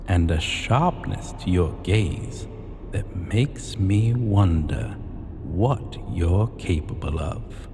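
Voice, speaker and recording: A man voicing an arrogant, possessive dragon king in a fantasy ASMR roleplay, speaking in pompous, deliberate, archaic formal phrases. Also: on the low side, dark tone, exaggerated voice. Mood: amused, possessive. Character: arrogant, possessive male dragon king, ancient hoard-keeper. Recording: long cavernous reverb, faint noise.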